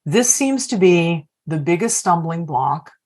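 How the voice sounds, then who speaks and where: A woman's voice: on the low side, lively intonation, a little slow, dark tone. A woman coaching leaders on a video call, reflectively posing open questions to the group. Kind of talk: workshop facilitation.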